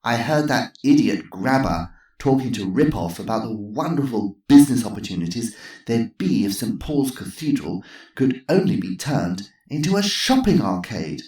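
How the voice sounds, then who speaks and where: A man's voice: medium pitch, expressive, moderate pace, darkish tone, pitched above the performer's own voice, natural voice. A man voicing a sly old woman who talks in a dry, knowing, tart way. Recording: roomy echo.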